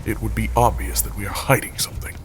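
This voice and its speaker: A man's voice: lowish voice, steady tone, fast, in a whisper, close to an everyday voice. A man playing a proud, weary, melodramatic military director in a science fiction audio drama, formal and dry. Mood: firm, tense. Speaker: lead character.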